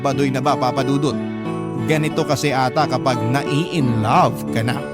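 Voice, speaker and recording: A man's voice: mid-range voice, fairly even intonation, moderate pace, lightly acted. A man playing a lovestruck young Filipino narrator, telling his romance sentimentally as scripted radio drama. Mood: dreamy, fond. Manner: sentimental storytelling. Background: loud guitar music.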